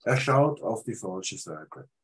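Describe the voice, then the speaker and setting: A man's voice: fairly low pitch, very animated, slow pace, slightly rough. A man from Switzerland with a cold, bluntly critiquing flyer photos on a video call, hesitating with fillers. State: has a cold. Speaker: photographer giving feedback. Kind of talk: casual video call discussion.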